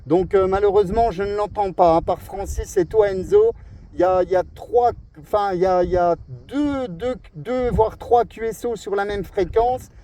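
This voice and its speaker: A man's voice: high voice, normal speed, dark tone. A man, a radio operator, chatting casually and amiably in rambling radio jargon while outdoors. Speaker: amateur CB radio operator making a video. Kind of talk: casual radio contact chat. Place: outdoors in a windy spot. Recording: outdoor recording with wind and traffic noise.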